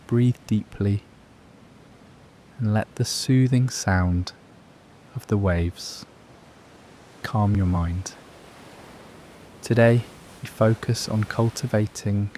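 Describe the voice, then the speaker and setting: Very slow, low-voiced male meditation guide. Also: a little hiss or hum. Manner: soothing, gentle, measured delivery with long pauses.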